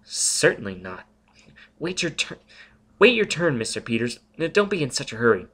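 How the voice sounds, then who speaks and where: A man reading aloud, voicing a lonely, nervous young woman telepath who teaches a class, in a prim, high-strung manner. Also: big pitch swings, normal speed, fairly bright tone, slightly rough, heavily acted. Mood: flustered.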